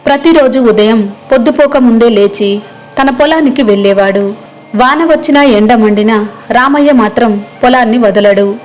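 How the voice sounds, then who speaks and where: A woman's voice: fairly high pitch, normal speed, a little mellow, low-quality recording. A woman storyteller reading a scripted moral tale as narrator.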